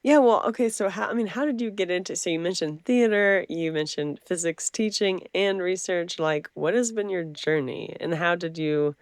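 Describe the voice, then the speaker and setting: A woman's voice: on the low side, normal speed, hardly any pauses, dry recording, studio quality. A woman hosting an interview, asking rambling questions that restart and circle before landing. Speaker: interview host.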